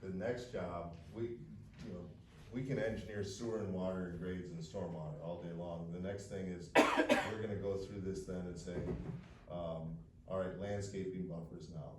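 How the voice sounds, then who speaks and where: A man's voice: sits low, steady tone, normal speed. A man presenting a development plan at a public meeting, talking in a rambling way with many fillers and restarts. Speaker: developer's representative. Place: township meeting room. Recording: echoey room, distant mic, faint hiss.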